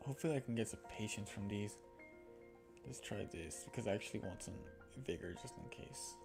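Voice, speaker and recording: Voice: mid-range voice, a little fast, vocal fry. A streamer playing a visual novel, reading its text aloud and talking casually through the choices. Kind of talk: reading game dialogue aloud with casual asides. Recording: background music under the voice. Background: music.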